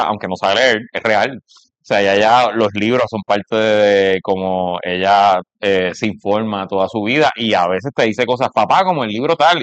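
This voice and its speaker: A man's voice: lowish voice, no echo. A man with a Puerto Rican accent chatting casually and telling anecdotes in conversation. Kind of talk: casual conversation about parenting.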